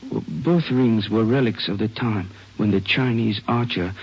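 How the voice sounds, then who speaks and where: An actor playing a polite, formal injured jade collector in an old radio drama, with courteous, measured wording. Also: male voice, on the low side, husky. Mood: polite, regretful. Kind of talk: 1950s radio crime drama acting. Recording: dull old radio recording.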